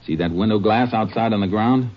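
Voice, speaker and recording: Male actor as methodical western lawman, deep, measured, matter-of-fact, radio drama, dull noisy vintage recording. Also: lightly acted. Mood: intent, observant. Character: steady, methodical male Texas Ranger investigator. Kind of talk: old-time radio western acting. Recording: muffled, noisy old radio broadcast recording.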